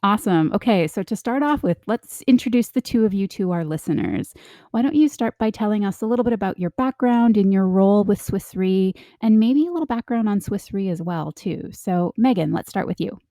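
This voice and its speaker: A woman's voice: medium pitch, expressive, fairly quick, mellow, no echo, studio quality. A woman hosting a podcast interview, upbeat and enthusiastic as she introduces her guests. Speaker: podcast host.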